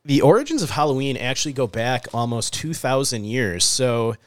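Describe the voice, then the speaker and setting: A man's voice: medium pitch, normal speed, dry recording, clean studio sound. A man co-hosting a podcast in casual chat, sounding slightly nasal from allergies. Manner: relaxed, chatty, frequent "uh" and "um". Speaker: podcast co-host.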